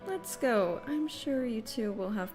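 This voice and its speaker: A woman's voice: fairly high pitch, lively intonation, unhurried, over music. A woman playing a cool, impatient, sarcastic partygoer in an audio drama, dry and cutting.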